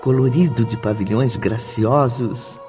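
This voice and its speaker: A Brazilian man narrating a radio drama from a script in a measured, literary style. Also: mid-range voice, mellow. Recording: music bed under the voice. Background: music.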